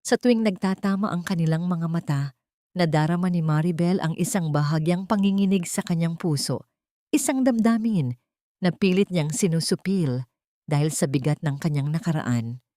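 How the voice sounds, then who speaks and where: A woman with a Filipino accent narrating an emotional scripted story in a measured, literary storytelling manner. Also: lowish voice, warm tone, dry recording, clean studio sound.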